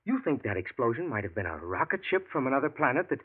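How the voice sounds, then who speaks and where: A man's voice: medium pitch, very animated, fast, husky. An actor playing an earnest male biographer who narrates and asks probing questions in a 1940s radio drama. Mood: curious. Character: earnest adult male biographer, curious, loyal. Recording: tinny lo-fi old radio broadcast.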